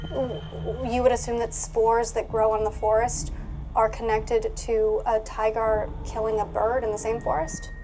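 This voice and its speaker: High-voiced woman playing tabletop roleplay. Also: little pitch movement, moderate pace, dark tone, faint music behind, poor audio. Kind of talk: in-character game chat.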